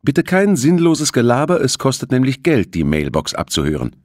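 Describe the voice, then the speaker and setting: Man voicing a cheeky teenage boy, pitched up. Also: wildly swooping pitch, moderate pace, darkish tone, no echo, clean studio sound. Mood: flippant. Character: cheeky teenage boy on his voicemail greeting.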